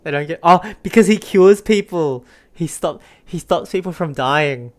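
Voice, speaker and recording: A woman's voice: fairly low pitch, moderate pace. A woman streaming a game, giving casual commentary and musing aloud informally. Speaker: game streamer reacting to a story quest.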